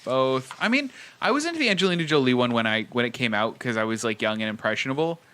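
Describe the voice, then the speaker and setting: Frank, bright-voiced man on a podcast. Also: mid-range voice, lively intonation, fast, smooth. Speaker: podcast co-host. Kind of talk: casual chat about movies and video games.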